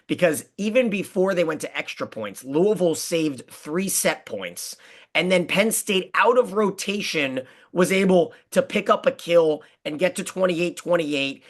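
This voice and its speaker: A man's voice: on the high side, a bit bright. A man hosting a sports podcast, recapping matches with enthusiasm and plenty of statistics. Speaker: podcast host.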